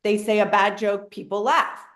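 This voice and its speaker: A woman's voice: mid-range voice, steady tone, normal speed, fairly bright tone, echoey room. A woman in her thirties, a comedian chatting casually on a podcast, self-mocking and acting out little voices in her stories. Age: 30s. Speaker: comedian guest.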